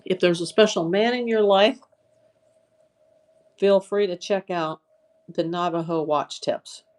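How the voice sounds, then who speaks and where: Woman doing a live sales stream, chatty, voice a bit worn and hoarse, rambles through her items. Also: lowish voice, a little slow, darkish tone. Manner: chatty, greets viewers by name, lists items. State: voice getting tired and hoarse. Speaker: online seller hosting a live auction stream. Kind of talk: casual live-selling chat.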